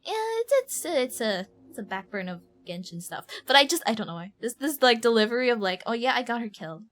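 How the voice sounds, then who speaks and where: A woman streaming a game, giving casual live reaction commentary in an animated, exaggerating manner. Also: on the high side, a little fast. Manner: animated, mimics lines with exaggeration.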